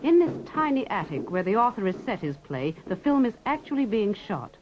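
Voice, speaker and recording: A woman reporter giving polished, lively scripted newsreel narration and asking interview questions. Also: mid-range voice, moderate pace, a little hiss or hum. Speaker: reporter and presenter. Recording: dull 1960s film soundtrack.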